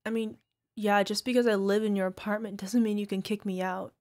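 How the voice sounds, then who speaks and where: A woman's voice: mid-range voice, steady tone, darkish tone, lightly acted. A woman acting as a toxic, gaslighting young girlfriend in a realistic ASMR roleplay, dismissive and exasperated. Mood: smug, mocking. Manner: exasperated sighs, scornful, halting defensive delivery. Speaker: voice actor.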